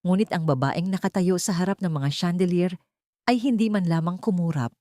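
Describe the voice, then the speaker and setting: Low-pitched woman narrating a drama. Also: a little fast, warm tone, no echo, studio quality. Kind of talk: dramatic scripted audio-story narration.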